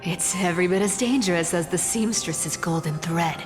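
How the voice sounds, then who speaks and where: Actress as a sly trickster thief, reverberant. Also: female voice, lowish voice, normal speed, close to an everyday voice. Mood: teasing, warning. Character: sly, world-weary female trickster thief, guarded and proud. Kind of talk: video game voice acting. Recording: long reverb under clear music.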